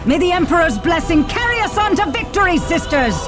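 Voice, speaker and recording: An actress playing a fervent, commanding warrior of a holy order in a video game, speaking in a reverent, declamatory way. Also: female voice, extremely high pitch, big pitch swings, brisk. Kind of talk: theatrical video game voice acting. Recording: music behind the voice. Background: game music.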